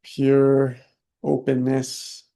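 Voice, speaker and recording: A man leading a guided meditation, soft-spoken and unhurried, leaving long pauses between short phrases. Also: medium pitch, completely flat delivery, creaky. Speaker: meditation teacher.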